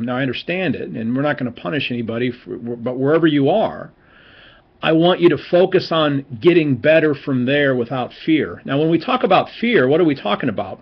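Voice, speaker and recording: A man's voice: mid-range voice, slightly rough, lo-fi sound. A man in his forties, a seminary professor, giving an informal, encouraging recorded class talk with many fillers and restarts. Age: 40s. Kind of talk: recorded online class lecture.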